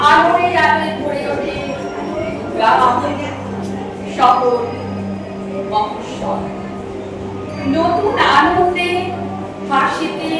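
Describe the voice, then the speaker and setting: A woman giving a dramatic poetry recitation in measured, declamatory phrases with pauses. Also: high-pitched. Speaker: recitation performer. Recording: very echoey, distant mic, music behind.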